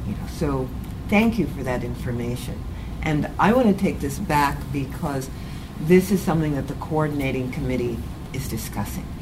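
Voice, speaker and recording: A woman facilitating a community meeting on a handheld mic, speaking gently and haltingly as she searches for words. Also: very deep voice, expressive, background noise. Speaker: group facilitator. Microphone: handheld microphone.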